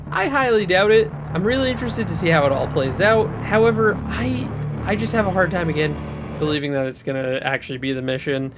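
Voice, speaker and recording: A man's voice: fairly high pitch, a bit bright. A man hosting a video, giving casual gaming commentary to viewers and repeating his points for emphasis. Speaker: video creator and host. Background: music.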